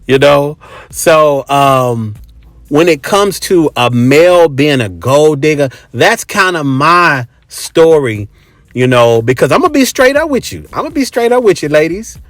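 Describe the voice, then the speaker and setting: A man's voice: fairly high pitch, expressive, unhurried, a bit bright, dry recording. A middle-aged man telling stories in a casual monologue, talking in a blunt, emphatic, conversational way. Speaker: host.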